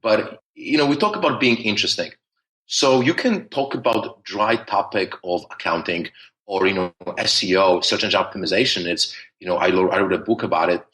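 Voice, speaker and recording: A man's voice: sits low, animated, fairly quick, a bit bright, low-quality recording. A man with a thick Polish accent, talking at length as a podcast guest, with many restarts and fillers. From Poland. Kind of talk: conversational interview.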